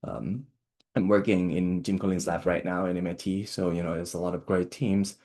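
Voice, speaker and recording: Young adult man from Thailand, low voice, halting seminar delivery full of fillers. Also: a little mellow. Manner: halting, many fillers and restarts. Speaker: postdoctoral researcher giving a talk. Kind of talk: online seminar talk.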